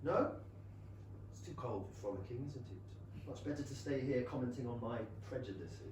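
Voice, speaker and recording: Echoey, wistful stage gentlewoman's letter read by a man. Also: medium pitch, moderate pace, recorded from a distance, close to an everyday voice. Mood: wry, indulgent. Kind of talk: staged play reading, monologue. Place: small London theatre. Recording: echoey theatre from afar, faint noise.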